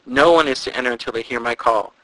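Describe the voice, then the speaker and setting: A man's voice: medium pitch, animated, fairly quick, pushed and bright, no room sound, poor audio. A man voicing a proud, greedy ancient queen with an imperious, commanding manner in a storybook reading.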